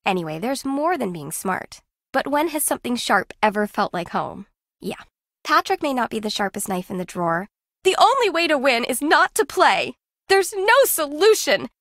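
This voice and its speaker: A shrewd, competitive young woman in a video game. Her delivery is lively and teasing, with dry self-assurance, in a very dry, close recording. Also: high-pitched, normal speed, dry recording, exaggerated voice. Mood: wry. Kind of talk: video game dialogue acting.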